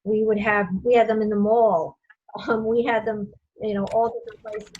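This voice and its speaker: A woman's voice: medium pitch, little pitch movement, moderate pace, a little hiss or hum. A woman hosting a casual video call meeting, rambling with many fillers and restarts. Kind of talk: casual meeting host talk. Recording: muffled video call audio.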